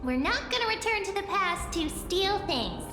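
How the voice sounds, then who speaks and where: A woman's voice: extremely high pitch, animated, moderate pace, roomy sound, long reverb tail, over music. A woman voicing a small, cute, curious fairy-like companion creature in a video game. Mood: chirpy, curious.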